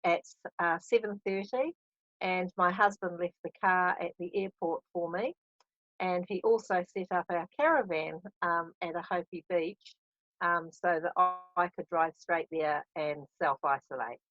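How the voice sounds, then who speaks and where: Woman from New Zealand, lowish voice, hesitant with many ums, dull dry video call sound. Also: unhurried, a bit bright, dry recording. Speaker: interviewee. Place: caravan at a beach.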